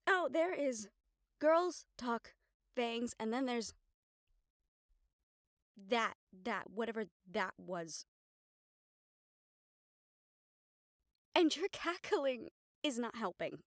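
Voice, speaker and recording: Actress as supportive female partner, fairly high voice, wry, playful, conversational audio drama delivery, dry recording. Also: lively intonation, takes it slowly, no echo. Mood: playful, flustered. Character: teasing, supportive partner of a vampire woman, gently probing. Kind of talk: dramatic audio roleplay acting.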